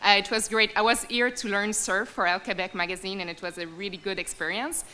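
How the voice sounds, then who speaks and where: A woman with a Québécois French accent giving a short, halting acceptance speech. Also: mid-range voice, fairly even intonation, pushed and bright. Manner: halting, with filled pauses. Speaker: award winner.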